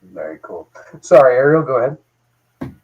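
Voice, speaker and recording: A man's voice: mid-range voice, big pitch swings, measured pace, darkish tone. A man co-hosting a show, asking a guest questions in a casual interviewing style. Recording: dull lo-fi livestream audio.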